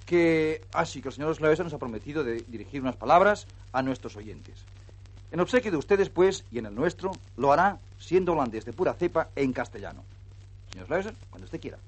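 A man's voice: on the high side, animated, a little fast, husky, rough recording. A man with a slightly raised voice presents on a festive radio broadcast. He is jovial and keeps fumbling his phrasing. His delivery has the eager rhythm of live radio. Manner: jovial, stumbling over words. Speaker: radio show host.

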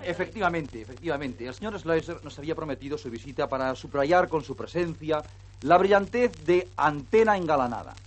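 A man's voice: fairly high pitch, animated, fairly quick. A man with a slightly raised voice presents on a festive radio broadcast. He is jovial and keeps fumbling his phrasing. His delivery has the eager rhythm of live radio. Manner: jovial, stumbling over words. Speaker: radio show host.

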